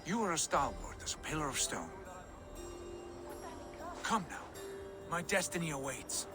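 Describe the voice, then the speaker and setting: A man's voice: on the high side, lively intonation, a little slow. A man playing a proud, visionary Viking warrior in a video game, speaking in solemn, grandiose, archaic phrases. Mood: proud, musing. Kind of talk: dramatic video game cutscene acting. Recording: music behind the voice.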